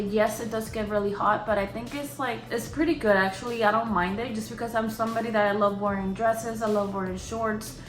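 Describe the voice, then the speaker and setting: Young woman, Boston accent, casual vlogger, filler-heavy chat, echoey apartment room. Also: mid-range voice. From Boston area. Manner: chatty, lots of "like" fillers. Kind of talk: casual Q&A vlog. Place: her apartment. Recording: roomy echo of a home room.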